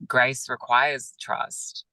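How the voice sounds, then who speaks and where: A man, a yoga teacher, talking gently and reflectively over a video call, with many pauses and fillers. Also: medium pitch, level tone, no room sound. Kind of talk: reflective interview talk.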